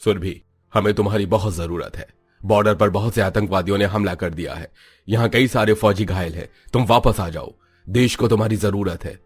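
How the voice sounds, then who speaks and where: A man's voice: on the low side, a little fast, husky, faint music behind. A man voicing a grave, urgent army captain in a cartoon story, commanding and formal. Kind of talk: scripted cartoon story dialogue.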